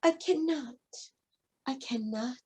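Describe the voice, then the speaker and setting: A weary old rusty engine from a storybook, acted out by a woman reader. He sighs and drags his words slowly, sounding defeated and worn out, in a voice pitched high for such an old machine. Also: darkish tone, slightly rough, heavily acted. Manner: sighing, drawn-out, defeated.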